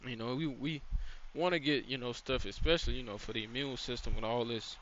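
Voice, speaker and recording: Guy rambling thru a casual faith lesson, loose n chatty, mid pitch, muffled lo-fi sound. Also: a little fast, fairly bright tone, a little hiss or hum. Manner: rambling, full of "you know" and "man", with some swearing. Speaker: channel host giving a faith-based teaching.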